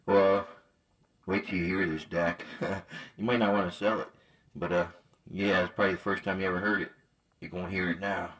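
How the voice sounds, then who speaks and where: Low-voiced man in casual radio chat. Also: little pitch movement, normal speed, fairly bright tone, breathy edge. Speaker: CB radio hobbyist.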